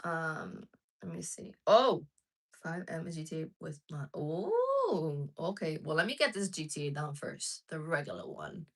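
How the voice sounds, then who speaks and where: Woman streamer, frank and casual, slightly low voice. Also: very animated, measured pace, breathy edge. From United States. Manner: chatty, frank, answers chat messages as she goes. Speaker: live streamer. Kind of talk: casual live chat with viewers.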